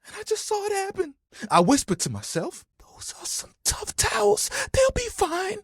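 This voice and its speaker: A man's voice: extremely high pitch, wildly swooping pitch, moderate pace, gravelly, no echo, studio quality, full character voice. A man voicing a friendly, vain, dramatic living towel in a video game, chatty and playful with an easily wounded manner. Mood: dramatic, grieving. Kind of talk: animated, conversational game character acting.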